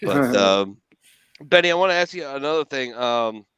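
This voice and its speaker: Smooth-voiced man in a basement. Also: mid-range voice, lively intonation, moderate pace, fairly bright tone, dry recording. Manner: blunt, profane storytelling. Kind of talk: casual chat. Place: home basement.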